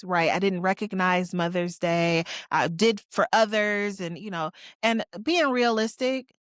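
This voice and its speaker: A woman's voice: on the low side, no room sound. Candid forty-year-old woman, dry sound. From Detroit, USA. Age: 40s. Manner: candid, reflective, conversational with casual slang. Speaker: podcast host. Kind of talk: personal solo podcast monologue.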